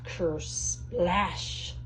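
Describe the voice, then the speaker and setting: Slow-paced woman reading a children's storybook. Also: fairly low pitch, fairly even intonation. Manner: addresses young listeners directly. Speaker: read-aloud host. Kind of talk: children's storybook read-aloud. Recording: clearly noisy background.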